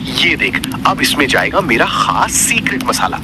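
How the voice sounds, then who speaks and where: A man's voice: medium pitch, very animated, moderate pace, heavy background noise, lightly acted. An actor voicing an older, jovial chowmein vendor father with a hearty, chuckling way of talking. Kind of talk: cartoon voice acting. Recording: background music.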